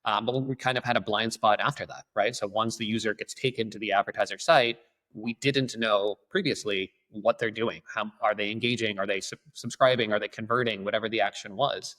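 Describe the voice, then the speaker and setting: A man's voice: on the low side, steady tone, a little fast, bright, forceful tone, low-quality recording. A man speaking as an interview guest, explaining things in a detailed, businesslike way. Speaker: podcast guest, advertising company lead.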